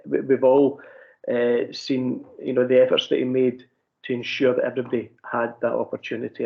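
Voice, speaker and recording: Halting councillor, gravelly voice. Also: male voice, mid-range voice. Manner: halting, many fillers and restarts. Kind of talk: formal tribute at a council meeting. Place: council committee meeting. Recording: dull lo-fi meeting audio.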